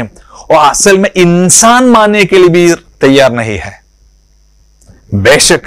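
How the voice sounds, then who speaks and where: A man who leads an organization delivers a political public address to supporters, speaking in a deliberate, emphatic way and often posing rhetorical questions. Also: fairly high pitch, no room sound.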